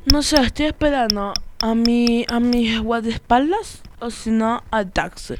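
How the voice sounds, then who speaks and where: A woman's voice: on the high side, measured pace, natural voice. A woman improvising as an evasive, boastful rich friend, casual and dismissive, in a drama exercise. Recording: noisy amateur recording with clicks.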